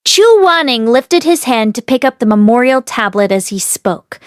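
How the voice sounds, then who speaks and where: Female audiobook narrator, high-pitched, close dry sound, expressive storytelling. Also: normal speed, clean tone, no room sound. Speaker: audiobook reader. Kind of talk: expressive story read-aloud.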